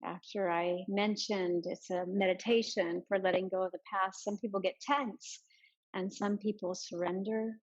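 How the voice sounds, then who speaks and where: A woman leading a guided meditation as a yoga teacher, giving soft instructions with many pauses. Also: medium pitch, steady tone, muffled recording.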